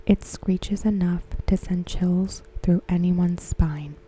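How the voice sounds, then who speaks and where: A woman softly narrating a scripted ASMR recap in a gentle, measured way, with a breathy, whispery voice. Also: fairly low pitch, fairly even intonation, slight background noise, rough recording. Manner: gentle, measured, carefully phrased. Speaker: ASMR host reviewing a TV episode.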